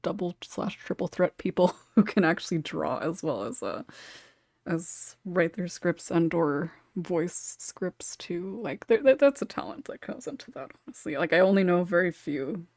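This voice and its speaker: A woman's voice: lowish voice, expressive, normal speed, a little mellow, no room sound, clean studio sound. A woman hosting a casual panel discussion, chuckling often as she talks. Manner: chuckles often while talking. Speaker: podcast host and moderator.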